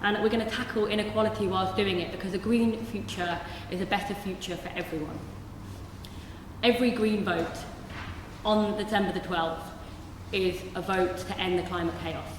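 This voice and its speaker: A woman's voice: medium pitch, fairly even intonation, moderate pace. A woman giving a rousing, rhetorical candidate's speech at a hustings in a large church hall. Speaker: parliamentary candidate. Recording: distant, echoey hall with hiss.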